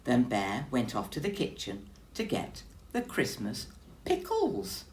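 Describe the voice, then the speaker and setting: A woman's voice: extremely low pitch, wildly swooping pitch, slow pace, a little hiss or hum. A woman teacher reading a story aloud expressively, speaking directly to young children.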